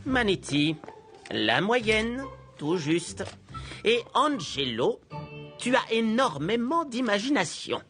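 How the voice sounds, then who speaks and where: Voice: mid-range voice, very animated, slow, a bit bright, faint music behind. An actor voicing a stern, exacting schoolteacher in a French cartoon, sardonic and clipped. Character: stern, dry, exacting schoolteacher handing back homework.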